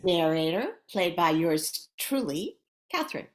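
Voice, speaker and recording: Slow, very expressive woman narrator. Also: fairly low pitch. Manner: dramatic storytelling. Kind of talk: scripted radio play narration. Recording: faint background noise, clicks.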